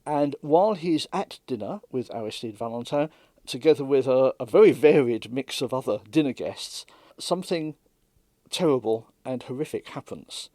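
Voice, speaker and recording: A man giving measured, explanatory interview commentary as a radio dramatist, close to the microphone. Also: mid-range voice, animated, dark tone, no echo.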